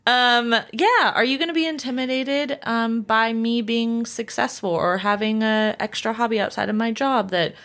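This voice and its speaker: A woman's voice: a little high, steady tone, normal speed, few pauses, a bit bright. A woman hosting a casual podcast, chatty and a bit self-conscious. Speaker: podcast host.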